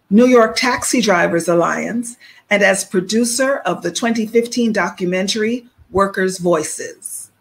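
Warm, measured middle-aged woman host. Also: mid-range voice. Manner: warm, affirming, measured reading. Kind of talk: interview and formal guest introductions. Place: home room, indoors. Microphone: video call.

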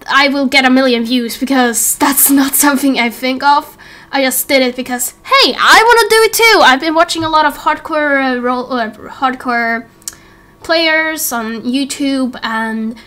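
A woman's voice: high voice, bright, forceful tone. A young woman in her late teens giving a casual, rambling update to viewers as a series host, in a giggly, self-interrupting way. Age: late teens, young adult. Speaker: YouTube roleplay series creator and host.

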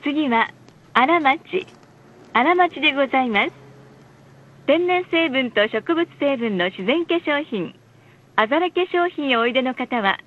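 A woman reading scripted bus stop announcements in a polite, formal, measured way. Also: high voice. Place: recorded announcement played inside a bus. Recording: muffled, lo-fi bus speaker recording.

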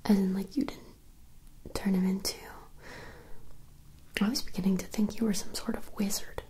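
A young woman playing a fantasy woman who is puzzled by modern things, whispering softly into a close mic with dramatic, playful reactions. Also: medium pitch, little pitch movement, moderate pace, lightly acted, low-quality recording. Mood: teasing. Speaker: ASMR roleplayer. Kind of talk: ASMR roleplay.

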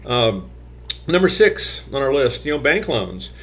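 A man's voice: medium pitch, sing-song, a little slow, fairly bright tone. An older man, a gym business consultant, giving conversational advice to camera from his office, with frequent "you know" fillers. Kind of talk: talking-head business advice video. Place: office with shelves, indoors.

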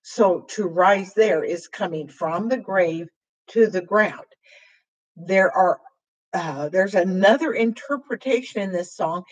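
Older woman, weak husky voice, slow. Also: mid-range voice, muffled recording. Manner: slow, halting, with frequent fillers while signing. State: voice weakened and hoarse after throat intubation. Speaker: sign language teacher. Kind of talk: slow explanatory sign language lesson. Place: small room with dark curtain backdrop, indoors.

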